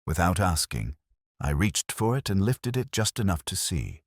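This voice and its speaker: A man narrating first-person detective fiction in a measured, literary, brooding manner. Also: deep voice, very animated, no room sound, studio quality. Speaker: audiobook-style narrator.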